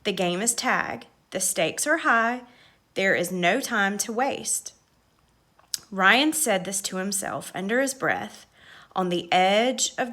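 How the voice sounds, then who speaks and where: A woman reading a children's story aloud with expressive, measured, dramatic narration. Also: mid-range voice.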